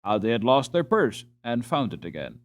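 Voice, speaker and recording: A man narrating a storybook as an audiobook reader, in a measured, expressive way. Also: medium pitch.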